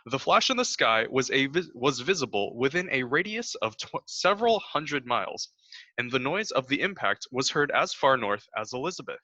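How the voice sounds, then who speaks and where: A man's voice: medium pitch, sing-song, moderate pace, pushed and bright, dry recording. A young man playing a formal radio news announcer, reading a scripted bulletin and sometimes stumbling. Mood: formal, serious. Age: young adult. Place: home room, indoors. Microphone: headset boom mic. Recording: dull lo-fi headset audio.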